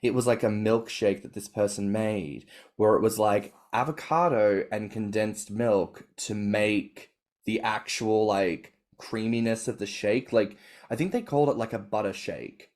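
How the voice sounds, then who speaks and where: A man with an Australian accent, hosting a casual podcast and talking with eager, animated enthusiasm. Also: on the low side, a little slow. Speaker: podcast host.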